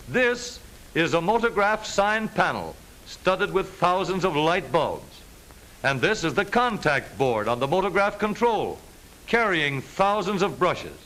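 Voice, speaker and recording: A man with an American accent narrates in the style of an old educational newsreel. His voice sits fairly high and bright, with a lively, swinging intonation. The delivery is scripted but jaunty, with a wry, wisecracking edge. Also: low-quality recording.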